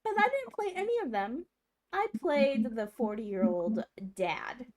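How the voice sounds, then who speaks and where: A woman's voice: on the high side, wildly swooping pitch, unhurried. A young woman on a livestream, chatting casually and rambling with many fillers. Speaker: streamer. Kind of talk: casual chat.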